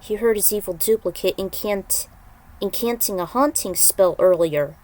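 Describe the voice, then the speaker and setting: Woman reading out an action fantasy story, mid pitched voice, dramatic storytelling. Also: normal speed, darkish tone. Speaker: narrator reading fan fiction.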